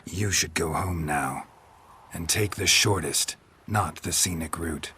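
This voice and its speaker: An actor playing a gruff, weary monster hunter in a video game, with a raspy voice and terse, deadpan delivery. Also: male voice, low voice, slow, heavily acted. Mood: dry, unimpressed. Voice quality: raspy, gravelly. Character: gruff, weary, dry-witted male monster hunter for hire.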